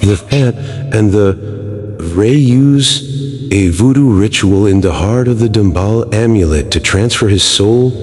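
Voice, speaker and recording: Low-voiced male narrator who trips over words, heard with long reverb and music underneath. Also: measured pace, darkish tone. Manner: stumbles over names and words. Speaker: narrator of a film backstory. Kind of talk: read-aloud narration.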